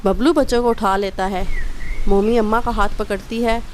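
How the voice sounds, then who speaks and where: A woman's voice: mid-range voice, steady tone, normal speed, slight background noise. A woman narrator reading a scripted children's story.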